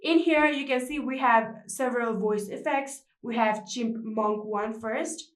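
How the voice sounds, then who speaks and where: A woman's voice: fairly high pitch, unhurried, reverberant. A woman narrating a step-by-step how-to tutorial as its presenter.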